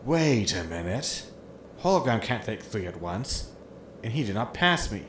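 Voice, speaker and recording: A man's voice: fairly low pitch, big pitch swings, measured pace, fairly bright tone. An actor playing a grumbling, money-minded, sarcastic spaceship crewman in a sci-fi sitcom audio drama. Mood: impatient, puzzled. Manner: sarcastic, exasperated. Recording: roomy echo with noisy ambience.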